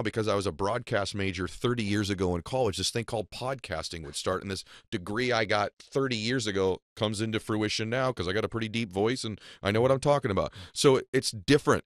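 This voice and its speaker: Deep-voiced, fast motivational male host. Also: no room sound, studio quality. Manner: emphatic, hand-gesturing, motivational. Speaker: podcast host. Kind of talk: reflective interview talk. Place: radio studio. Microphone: studio boom mic, close.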